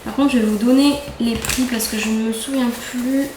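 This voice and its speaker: Young woman, bright-pitched, gushing haul chatter, roomy home recording with a faint hum. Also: steady tone, moderate pace. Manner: chatty, enthusiastic, repeats words for emphasis. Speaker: beauty vlogger. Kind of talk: casual haul chat. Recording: echoey room, faint ambience.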